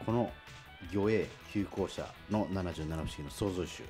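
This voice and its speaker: A man's voice: sits low, unhurried, darkish tone. A man co-hosting a casual radio show, chatting and interviewing. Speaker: radio show co-host and stage actor. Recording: music behind the voice. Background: background music.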